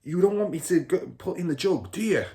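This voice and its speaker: Bloke reading a storybook as a bullying dodgy dad, loud n scornful, voice swooping way up. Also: male voice, high-pitched, lively intonation, brisk, pitched above the performer's own voice. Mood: boastful. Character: boastful bullying crooked father, a car dealer.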